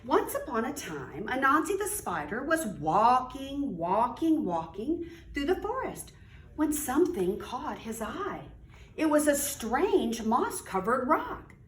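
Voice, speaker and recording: Animated woman storyteller in a roomy space. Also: high voice. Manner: emphatic, dramatic pauses and drawn-out key words. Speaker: library storyteller reading a picture book to children. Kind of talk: expressive children's read-aloud. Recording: roomy, distant mic with faint noise.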